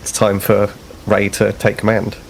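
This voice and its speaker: A man with a gravelly, fry-laced low voice talks through his character's moves in a tabletop game. He explains his thinking in long, rambling turns, with faint background hiss. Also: monotone, unhurried. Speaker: player. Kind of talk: casual tabletop play.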